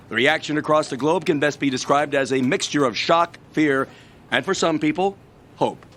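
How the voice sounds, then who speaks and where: A grave news anchor in a radio drama, played by a man. His male voice is slightly high as he reads a formal bulletin, with a faint rumble behind him. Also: fairly bright tone.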